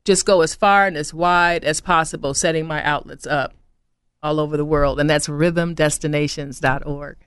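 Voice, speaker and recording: A middle-aged woman from Chicago, a travel business owner talking as a guest in a casual interview, animated and repeating herself as she goes. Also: low voice, normal speed, clear, resonant tone, no room sound. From Chicago, USA. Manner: animated, repeats words and restarts often, laughs easily.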